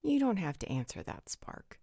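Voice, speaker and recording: A woman's voice: medium pitch, big pitch swings, moderate pace, gravelly, dark tone, slightly rough, no echo, studio quality, exaggerated voice. A woman playing a frank, teasing, worldly supernatural guardian who speaks bluntly in a roleplay audio drama. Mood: supportive, firm.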